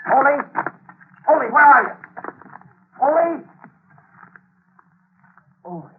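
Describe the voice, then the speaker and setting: An actor playing a tough, wry amateur undercover hero in a 1940s radio thriller, speaking in a terse, dry way. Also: male voice, extremely high pitch, big pitch swings, takes it slowly, slight background noise, full character voice. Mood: alarmed. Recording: tinny 1940s radio recording.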